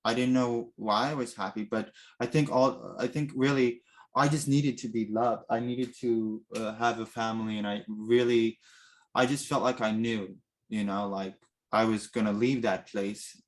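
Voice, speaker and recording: A man's voice: on the low side, steady tone, moderate pace. A man whose first language is Russian, telling his own adoption story in an earnest, reflective interview. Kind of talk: personal interview.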